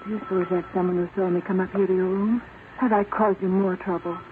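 A woman's voice: medium pitch, little pitch movement, fast talker, narrow, tinny audio, close to an everyday voice. An actress playing an earnest, anxious young woman working as an amateur spy in an old-time radio drama, polite in manner. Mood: worried. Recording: scratchy, band-limited old radio recording.

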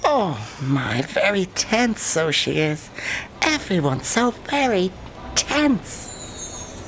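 An actor playing a gentle, chatty old Irishman who rambles and muses in an audio drama. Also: sits high, sing-song, drawn-out words, slight background noise, exaggerated voice, low-quality recording. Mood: musing. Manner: rambling, musing, tag questions. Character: gentle, chatty, ghostly old fellow narrating and watching passengers.

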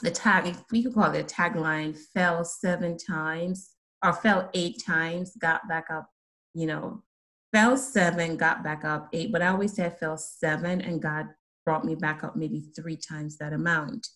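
Middle-aged jamaican woman, lowish voice, earnest, lots of ums. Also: steady tone, unhurried. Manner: earnest, laughs softly, many ums. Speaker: guest. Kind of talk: personal testimony in an interview.

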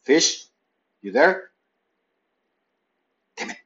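A man's voice: extremely slow, fairly bright tone, lo-fi sound. A man narrating a playful, rambling story in the first person from his pet's point of view. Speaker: pet owner narrating.

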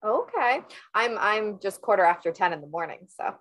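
A woman's voice: medium pitch, animated, moderate pace, a bit bright. A woman hosting a podcast interview, upbeat and informal in her questions. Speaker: podcast host.

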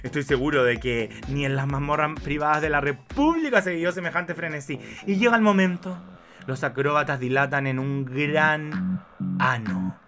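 Casting: flamboyant male art-film director male actor, melodramatic and pompous, bright higher-pitched voice, campy radio drama with music underneath. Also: normal speed, close to an everyday voice. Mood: rapturous. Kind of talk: campy radio play, narrated camera directions.

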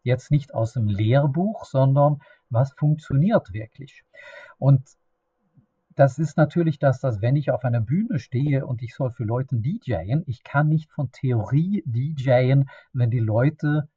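A middle-aged man with a Swedish accent, a native Swedish speaker, hosting a solo podcast in a halting, unscripted way, often restarting and searching for words. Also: mid-range voice, mellow, slightly rough, no echo, dull audio. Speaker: podcast host.

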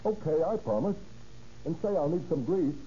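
A man's voice: a little high, animated, normal speed, warm tone, slight background noise, close to an everyday voice. An actor playing a brazen, wheedling young male small-time crook, argumentative and slangy, in a 1930s radio crime drama. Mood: wheedling, bargaining. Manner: slangy, argumentative tough talk. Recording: muffled old radio recording.

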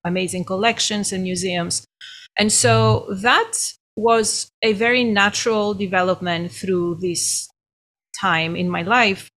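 A middle-aged woman from Greece with a Greek accent, a guest professor telling her story in a podcast interview in a thoughtful, measured way. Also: mid-range voice, a bit bright. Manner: thoughtful, measured, laughs now and then.

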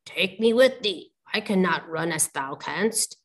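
Woman doing a low, hushed storybook frog. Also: expressive. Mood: pleading, insistent. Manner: measured, formal old-fashioned phrasing. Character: talking male frog, solemn, plaintive and bargaining. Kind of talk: storybook character voice, archaic speech.

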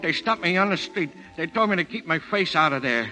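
An actor playing a nervous, timid numbers collector who stammers, in a vintage 1930s radio drama recording. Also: male voice, fairly high pitch, animated, fairly quick, pushed and bright, soft background music. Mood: frightened. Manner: stammering, hesitant.

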